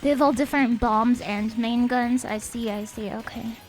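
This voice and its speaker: Soft, sniffly young woman streaming. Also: on the high side, steady tone, moderate pace. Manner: soft-spoken, reads chat aloud. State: sniffly, a bit stuffed up. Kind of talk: casual gaming stream chat. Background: faint game music.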